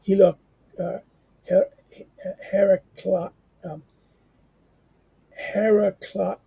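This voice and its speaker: A hoarse man, a teacher, reading a history text aloud in a halting way with many fillers and restarts, then adding his own remarks. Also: high voice, mellow, poor audio. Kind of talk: halting read-aloud with commentary.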